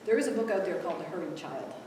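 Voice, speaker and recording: A woman giving a live conference talk, animated and acting out quoted dialogue, who grew up in Ethiopia. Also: on the low side, racing, very noisy. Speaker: conference speaker. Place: large echoey hall. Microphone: distant room mic. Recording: echoey hall from far off.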